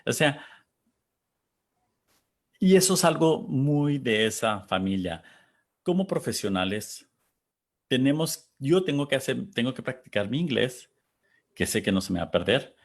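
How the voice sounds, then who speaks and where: A man from Texas hosting a panel, talking casually and reflectively, pausing to search for words and reading out audience comments. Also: medium pitch, expressive. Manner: thoughtful, searching for words, pauses.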